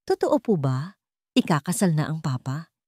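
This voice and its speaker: A woman's voice: deep voice, big pitch swings, normal speed, darkish tone, husky, dry recording, studio quality, exaggerated voice. A woman voicing a timid, hurt little daughter in an audio family drama. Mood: tearful, hurt.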